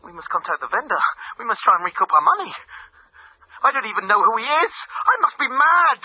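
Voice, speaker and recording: A man playing an anxious, despairing art buyer in a radio drama, speaking in an agitated, halting, plaintive way. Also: extremely high pitch, sing-song, husky, exaggerated voice. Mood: accusing. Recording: roomy, dull radio drama phone scene.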